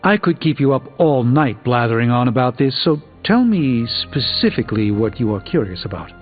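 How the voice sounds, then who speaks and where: A man's voice: medium pitch, big pitch swings, moderate pace, lightly acted. Video game sorcerer superhero, male actor playing a middle-aged man, articulate and drily wry, formal scholar; thin phone-like sound over music. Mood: earnest, wry. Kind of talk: video game character dialogue.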